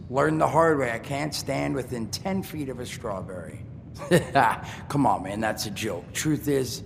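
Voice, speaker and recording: An actor playing a wisecracking male ghoul trader in a video game, talking in a joking, streetwise drawl with a gravelly voice. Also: medium pitch. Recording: game audio with long reverb and noise.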